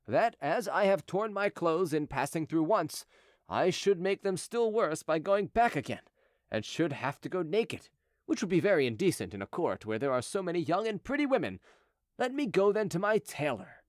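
A courteous gentleman fugitive, acted out by a male narrator in storybook style. He is persuasive and evasive, with a raised, animated pitch and a dry, close sound. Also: moderate pace, no room sound. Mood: coaxing. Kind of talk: audiobook character dialogue.

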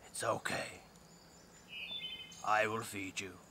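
A man voicing the earth as a calm, stern spirit speaking low and steady. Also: slow, background noise.

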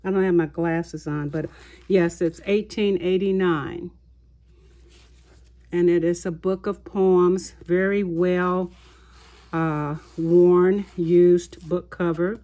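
A woman hosting a haul video of her craft purchases, talking in an unhurried way with many small pauses and fillers. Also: lowish voice, fairly even intonation, mellow, muffled recording. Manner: slow, unhurried, halting with fillers. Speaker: craft channel host. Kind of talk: show-and-tell haul video. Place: indoors at a tabletop, voice-over of hands.

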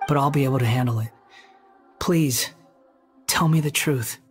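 An actor playing a young male wanderer with a lost past in a video game, polite, measured and reserved. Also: mid-range voice, faint music behind, lightly acted. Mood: resolute.

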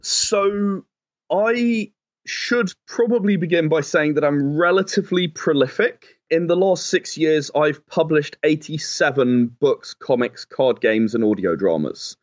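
A man with a slightly high-set voice talks as an interview guest. He explains things conversationally, often qualifying his phrases. The sound is a little dull and lo-fi. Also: measured pace. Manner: explanatory, hedging with "sort of". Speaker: game designer and writer, interview guest.

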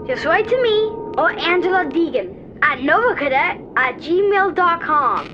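A woman's voice: very high voice, lively intonation, a little slow, muffled recording. A woman playing an eager young space cadet, earnest and polite, in a retro radio serial. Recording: music behind the voice.

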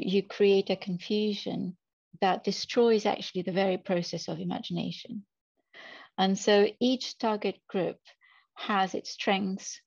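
A woman's voice: mid-range voice, gravelly, a little mellow, no room sound. A middle-aged woman, a translator, answering a panel question on a video call from her home study, thoughtful and hesitant. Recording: muffled video call audio.

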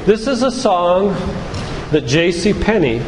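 An older man speaks like a lay preacher, telling stories and reading quotations deliberately and earnestly. His voice sits a little high for a man. It rings with long reverb in a church hall, with noise behind it. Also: very animated. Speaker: physician and lay preacher giving a church talk. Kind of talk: sermon-like lecture with read-out quotations. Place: church hall, live talk to congregation.

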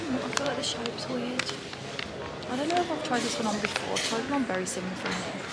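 A woman's voice: on the high side, quick pace. A young woman in her twenties, chatting and gushing about clothes as she films a shopping vlog on a handheld camera inside a large store. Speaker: fashion vlogger. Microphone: handheld camera mic. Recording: loud store background noise.

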